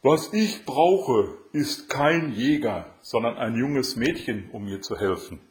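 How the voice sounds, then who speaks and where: A man voicing a big red enchanted crab in a fairy tale, a gruff and blunt suitor with a grumbling manner. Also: mid-range voice, animated, takes it slowly, voice pushed up. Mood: emphatic longing.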